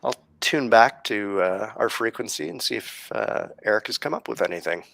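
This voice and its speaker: A man hosting a podcast live stream, explaining things casually in a halting, thoughtful way with frequent pauses. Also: lowish voice, animated, vocal fry, a bit bright, dry recording. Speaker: podcast host.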